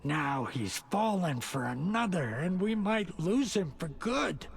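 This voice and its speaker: A man's voice: high-pitched, sing-song, takes it slowly, gravelly, heavily acted. A man playing a sorrowful old poet-rocker in a video game, solemn and dramatic. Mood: grieving, bitter. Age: older. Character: old, sorrowful, poetic rocker brother speaking in riddles. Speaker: supporting character in a video game. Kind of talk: cinematic game acting.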